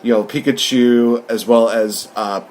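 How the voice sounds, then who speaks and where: A man with a middling pitch hosts a casual film discussion. He rambles enthusiastically as he recaps and gives his take, in a conversational reviewer's style. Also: fairly even intonation, unhurried, noisy background. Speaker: podcast host and film reviewer.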